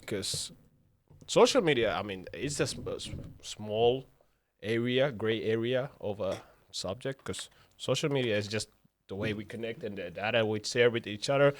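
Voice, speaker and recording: A man in his twenties talks casually on a podcast as a non-native English speaker. His pitch moves around a lot, giving his slangy storytelling an animated feel. He swears freely. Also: normal speed. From Finland. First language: not English, speaks Arabic with parents. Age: 20s.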